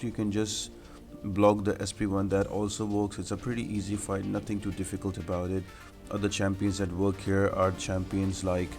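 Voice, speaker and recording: A man's voice: sits low, a little mellow. A man giving game walkthrough commentary in an explanatory, matter-of-fact manner, as the host of a guide.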